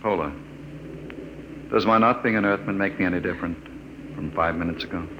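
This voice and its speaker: An actor playing a gentle, earnest archaeologist from off-world in an old radio drama. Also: male voice, low voice, a little fast, close to an everyday voice. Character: calm off-world male archaeologist. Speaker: archaeologist narrator. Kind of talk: 1950s radio drama acting. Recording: old radio broadcast with noise.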